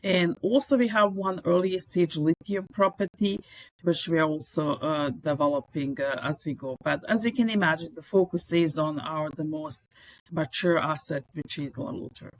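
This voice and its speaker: Middle-aged serbian woman, deep voice, dry close sound, earnest chatty guest, english not her first language. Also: moderate pace, dry recording, poor audio. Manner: earnest, enthusiastic, with non-native phrasing. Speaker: mining executive guest. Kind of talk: podcast interview.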